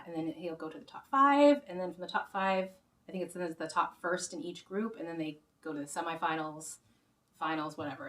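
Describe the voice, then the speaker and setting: A woman's voice: fairly low pitch, a bit bright. A woman hosting her own music show, chatting casually to viewers in a rambling way with many restarts, her voice a little worn. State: slightly losing her voice. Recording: roomy sound, mic far off, faint guitar.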